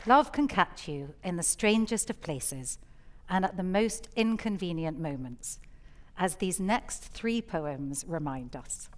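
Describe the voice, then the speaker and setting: A woman's voice: fairly low pitch, animated, slow, some chatter behind, slight background noise. A woman hosting a poetry evening, giving scripted introductions from a theatre stage.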